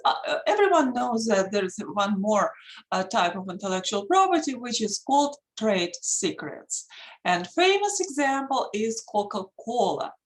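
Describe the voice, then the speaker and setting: A woman with a Russian accent, a native Russian speaker, giving an earnest presentation as a patent attorney over a video call, pausing often with fillers. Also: mid-range voice, low-quality recording. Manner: earnest, emphatic, pauses with many fillers. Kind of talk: online presentation.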